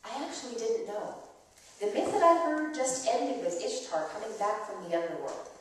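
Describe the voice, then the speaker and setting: A woman storyteller reads a myth with expressive, swooping intonation in a fairly high voice. She explains things as if to children. The recording is distant and heavily echoing, from a live church performance. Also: normal speed. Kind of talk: dramatic reading of a myth, storytelling. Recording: very echoey church hall, distant microphone.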